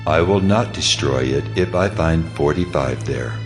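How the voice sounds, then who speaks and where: A man's voice: very deep voice, unhurried, soft background music. A man voicing a solemn, authoritative God in a dramatized scripture reading. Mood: solemn, calm. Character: the Lord God, solemn, mighty, merciful male deity.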